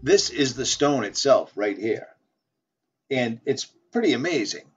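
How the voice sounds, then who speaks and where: A man's voice: mid-range voice, big pitch swings, measured pace, husky, faint music behind. Insistent man rambling, lo-fi audio. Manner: insistent, repetitive, reading aloud with stumbles. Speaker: flat earth video maker. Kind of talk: casual rambling monologue. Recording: dull lo-fi audio.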